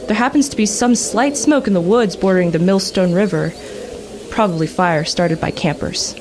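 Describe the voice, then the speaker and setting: A woman's voice: medium pitch, moderate pace, lightly acted. A woman playing an alarmed field reporter. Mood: tense. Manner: breathless eyewitness reporting, rising alarm. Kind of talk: 1930s radio drama acting. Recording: student radio play with clear background noise.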